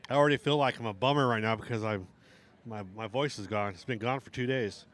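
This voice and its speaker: A middle-aged man with a hoarse voice, casually commentating a live outdoor RC drag race. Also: medium pitch, fast, fairly bright tone. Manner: casual, rambling banter with restarts.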